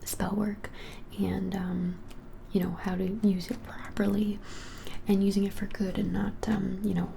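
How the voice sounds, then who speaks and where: Slow whispering young woman with crackly fry. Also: mid-range voice, level tone. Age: 20s. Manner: gentle hesitant whisper with many pauses. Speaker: ASMR creator playing a witch shop clerk. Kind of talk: whispered ASMR roleplay. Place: plain small indoor room. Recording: clearly hissy background noise.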